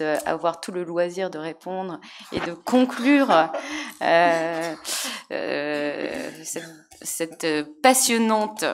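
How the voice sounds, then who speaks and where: A woman historian on a conference panel, answering audience questions with many pauses and restarts. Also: fairly low pitch, slight background noise. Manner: hesitant, many fillers and restarts.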